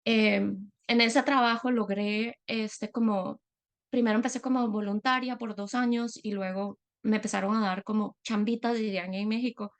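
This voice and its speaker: Woman, from Latin America, middle-aged, gravelly tone, earnest testimony, hesitant fillers. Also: medium pitch, steady tone, moderate pace. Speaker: guest speaker, psychologist. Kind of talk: personal testimony talk.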